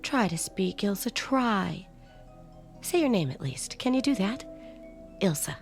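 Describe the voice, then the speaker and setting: An actress playing a middle-aged, grieving and protective foster mother, gentle and emotional, in a radio drama. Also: medium pitch, big pitch swings, unhurried, gravelly, faint music behind. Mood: tender, grieving. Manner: gentle, emotional, breaks into hushed tones.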